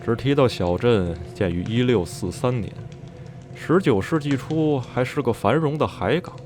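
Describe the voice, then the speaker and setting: An actor playing a reflective man who recounts a journey from his youth in measured, literary radio drama narration. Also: mid-range voice, animated, lightly acted. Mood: recollecting. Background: music.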